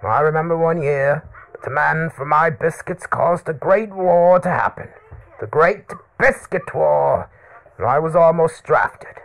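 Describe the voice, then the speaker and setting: A man's voice: on the high side, a little slow, vocal fry, a bit bright, pitched above the performer's own voice, poor audio. A man voicing an ancient, eccentric old hermit who rambles forgetfully and theatrically in a comedy mock interview. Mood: rambling, excitable. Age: elderly.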